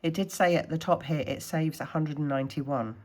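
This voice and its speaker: Snuffly low-voiced woman counting savings. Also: brisk, darkish tone. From Scottish Highlands. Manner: chatty, counting money aloud. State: snuffly from a cold. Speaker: budgeting vlogger. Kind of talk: casual talk-through of savings challenges.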